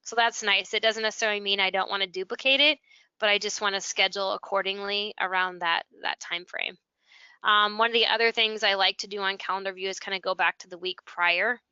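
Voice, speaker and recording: Bright, clear American woman with even intonation. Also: medium pitch, brisk, no echo, lo-fi sound. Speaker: school technology integration specialist, webinar guest. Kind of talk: conversational software walkthrough.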